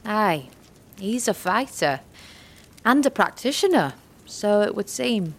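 A woman playing a gruff, wary old witch woman in an audio drama, blunt and teasing, speaking in rustic dialect. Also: medium pitch, very animated, takes it slowly, exaggerated voice. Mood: gruff, caring.

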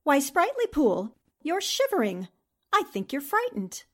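Plucky, commanding girl reporter for 1940s-style radio drama actress, high, lively voice. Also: measured pace, very noisy, full character voice. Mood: confident. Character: brisk, eager, commanding female newspaper reporter.

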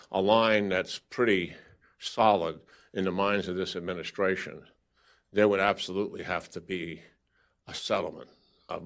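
A man speaking as an official at a press briefing. His voice sits fairly low, and he answers in a measured way, often restarting his sentences.

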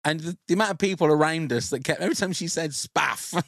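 A man's voice: fairly high pitch, fast, vocal fry, fairly bright tone, no room sound. British man chatting on a dry-sounding podcast. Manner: jokey, freely sweary. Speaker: podcast host. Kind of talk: casual podcast chat.

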